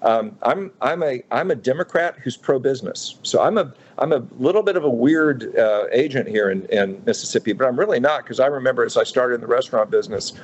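A man in his fifties from Utah, a restaurateur speaking as a guest in a radio interview, thoughtful and halting with many fillers and restarts. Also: mid-range voice, expressive, highs cut off, a little hiss or hum. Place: radio studio.

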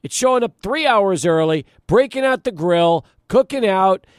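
A man's voice: sits high, lively intonation, moderate pace, no room sound, studio quality. A middle-aged man hosting a sports talk radio show, conversational and emphatic, punctuating his points. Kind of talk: sports talk radio, chatting and prompting callers. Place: radio studio.

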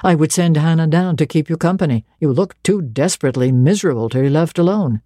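A woman voicing a stern, cold young male clergyman who speaks in a grave, dry, measured way. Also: low voice, mellow, no room sound, voice pushed down. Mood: reserved.

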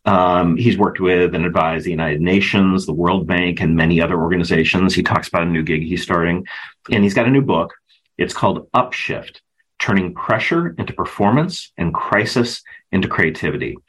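A man hosting a podcast, reading an intro and then asking interview questions in a measured way. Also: low voice, a bit bright, husky, rough recording. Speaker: podcast host.

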